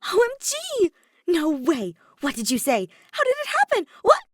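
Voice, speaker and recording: A woman voicing a bubbly, excitable teenage girl best friend who gushes in a fan fiction story. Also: extremely high pitch, no echo, studio quality, voice pushed up, full character voice. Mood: thrilled, squealing. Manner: gushing, rapid-fire questions.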